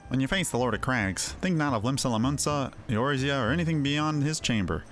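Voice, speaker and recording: A man performs a solemn, inspiring soldier from a fantasy game. He speaks formally and earnestly at a medium male pitch, over quiet music. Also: lively intonation, a little fast, close to an everyday voice. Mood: solemn, rousing. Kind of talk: voiced game dialogue.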